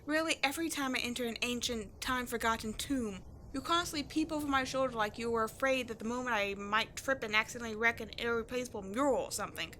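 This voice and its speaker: Lady playing a cocky tomboy adventurer girl, high bright voice, snarky and slangy, audio roleplay. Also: quick pace, few pauses, a little hiss or hum. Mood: defensive, cocky. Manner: sarcastic, slangy, exasperated, expressive. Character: brash, cocky tomboy adventurer woman, sarcastic and defensive. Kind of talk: scripted ASMR-style audio roleplay, one-sided dialogue.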